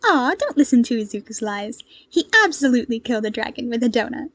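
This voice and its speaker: A woman's voice: on the high side, lively intonation, quick pace, a bit bright, no echo. A woman voicing a cheery, playful young female superhero in an audio story, with a breezy, teasing manner.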